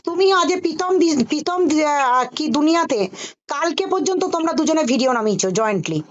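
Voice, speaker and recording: Woman, high voice, rapid delivery; insistent mediator on a muffled phone call. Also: animated. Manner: insistent, lecturing, often interrupting. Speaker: mediator questioning others on a group call. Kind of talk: heated phone conversation. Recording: muffled call recording, voices crowding behind.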